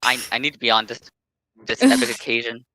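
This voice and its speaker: A man chatting on a casual group stream with deadpan asides. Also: medium pitch, fast, crowd noise. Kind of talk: casual chat on a group stream, reading aloud.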